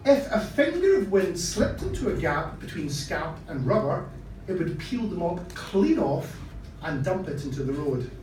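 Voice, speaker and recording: A man reading a short story aloud at a live event, in a deliberate, theatrical storytelling manner. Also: on the high side, big pitch swings, darkish tone. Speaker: reader of short fiction at a live literary event. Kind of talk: dramatic scripted story reading. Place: live event in a social room. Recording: echoey room, distant mic, faint noise.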